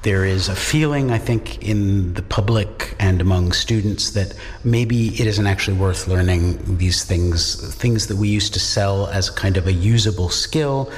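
Low-voiced guy, university lecturer, slow thoughtful answers, bit of reverb. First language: English. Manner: measured, reflective, with pauses and restarts. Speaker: university lecturer in Czech studies.

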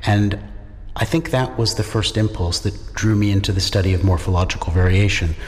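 A man's voice: low-pitched, steady tone, fairly quick, slight background noise. A middle-aged man, a native English speaker and university lecturer, giving thoughtful, articulate interview answers in a reflective, lightly self-deprecating way. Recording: echoey hall with long reverb.

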